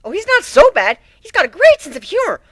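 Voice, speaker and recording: Guy putting on hyper cheery pony girl, squeaky falsetto high, cartoon style. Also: huge pitch swings, brisk, a bit bright, dry recording, clean studio sound, exaggerated voice. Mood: cheerful. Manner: perky, squeaky, upbeat. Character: bubbly, cheerful young female pony.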